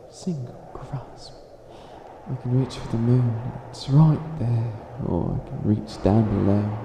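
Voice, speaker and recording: A man playing a centuries-old, boastful but bumbling evil witch in an ASMR comedy roleplay, speaking in a theatrical, halting, grandiose way. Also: mid-range voice, vocal fry, reverberant, background noise. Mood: dreamy, menacing.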